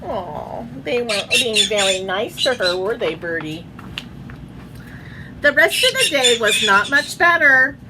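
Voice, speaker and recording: A woman's voice: fairly high pitch, sing-song, unhurried, background noise. A woman reading a children's picture book aloud at home, in a sing-song storybook way, chatting to her pet birds between pages.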